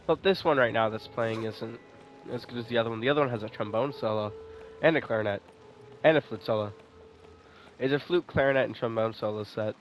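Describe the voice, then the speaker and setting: A man's voice: mid-range voice, a little fast, a little hiss or hum. A young man giving informal, rambling commentary as he plays a video game. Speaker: game streamer. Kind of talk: casual gameplay commentary.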